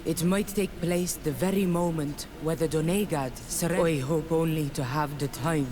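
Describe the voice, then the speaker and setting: A performer playing a solemn, reverent native guide in a video game, with deliberate, stilted phrasing. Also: mid-range voice, fairly even intonation, noisy background, lightly acted.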